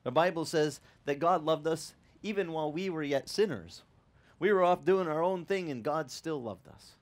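Pastor in his fifties, slightly high-pitched man, lively off-the-cuff beach sermon on a headset mic. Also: normal speed. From Italian-American upbringing. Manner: animated, conversational preaching with restarts and asides to the audience. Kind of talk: outdoor sermon. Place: open-air beach tent service.

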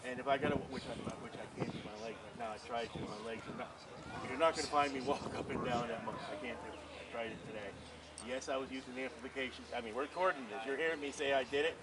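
A man's voice: mid-range voice, quick pace. A man who preaches on the street, talking earnestly and insistently with police officers outdoors at a market. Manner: earnest, insistent, talks over others. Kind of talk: casual conversation with police. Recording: distant voice, busy street crowd.

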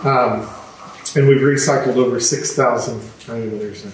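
A man's voice: medium pitch, steady tone, moderate pace. Older man, plain matter-of-fact talk, distant roomy sound, noisy. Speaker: guest speaker from a car dealers group. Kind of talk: casual explaining to a group.

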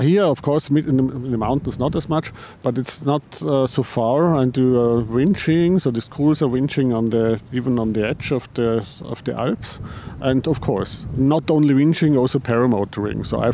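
A man who heads a paraglider company answering interview questions, halting, with frequent restarts and repeated words. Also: mid-range voice, dark tone, slight background noise. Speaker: paraglider designer and company head.

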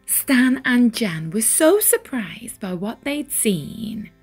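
Very slow, animated woman storyteller. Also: a little high, husky, faint music behind. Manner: deliberate, dramatic emphasis on key words. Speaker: children's storyteller. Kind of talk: expressive read-aloud storybook narration.

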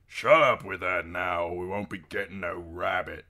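A man voicing a gruff, scornful outlaw in a storybook, muttering and dismissive. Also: sits low, fairly even intonation, normal speed, sharp tone. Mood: warning.

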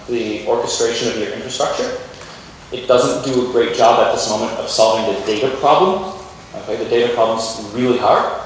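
A man giving an informal, explanatory conference keynote on technology to a lecture theatre. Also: medium pitch. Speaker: keynote speaker. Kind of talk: conference tech presentation. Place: large university lecture theatre with audience. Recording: echoey hall, distant mic, faint noise.

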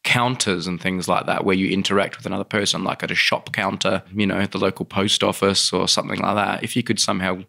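A man co-hosting a podcast, talking in a measured, inquisitive casual chat. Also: sits low, level tone, vocal fry, bright, forceful tone. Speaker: podcast co-host.

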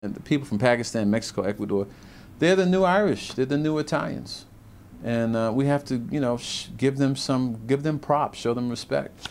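A man who grew up in New York, speaking earnestly and in a measured way in a documentary interview. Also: mid-range voice, a little mellow, slight background noise.

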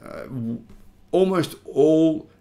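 A man's voice: fairly high pitch, lively intonation, drawn-out words, mellow. An Australian man in his thirties talks straight to camera about the news. He drives his points home by stretching and stressing key words. He sits in a small indoor home studio. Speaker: YouTube channel host.

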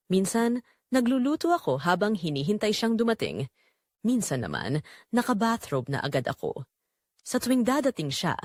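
Animated Filipino woman narrating a confessional drama. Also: medium pitch, dry recording, clean studio sound. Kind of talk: dramatic first-person scripted narration.

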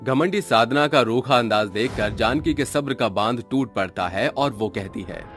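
A man's voice: mid-range voice, normal speed, a bit bright, soft background music. A man reading a scripted story narration.

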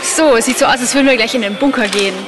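A woman's voice: on the high side, very fast, very noisy, lightly acted. A woman playing an inquisitive field reporter in a post-apocalyptic live-action drama, reporting on the spot. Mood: tense reporting.

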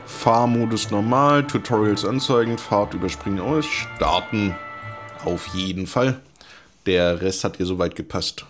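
Ein Mann kommentiert locker und mit trockenen Sprüchen ein Videospiel als Let's-Play-Host. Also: male voice, on the low side, unhurried. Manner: chatty, wry asides while reading game menus. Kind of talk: casual gameplay commentary. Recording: talking over game music.

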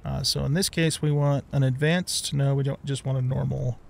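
A man's voice: mid-range voice, steady tone, normal speed, gravelly, slight background noise. A middle-aged man giving casual let's play commentary, rambling as he thinks aloud.

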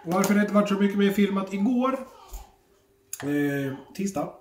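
A middle-aged man chatting casually for a family vlog, informal and sweary, often halting, recorded on a phone at arm's length indoors. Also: sits high, lively intonation. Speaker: family vlogger. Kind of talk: casual handheld vlog chat. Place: indoors at home, rooms and kitchen. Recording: roomy echo, faint household noise.

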